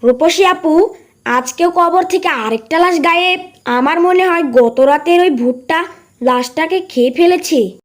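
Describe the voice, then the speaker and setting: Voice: very high voice, a little slow. An actor voicing an anxious young animal child in a cartoon, earnest and worried about ghosts. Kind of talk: cartoon dialogue.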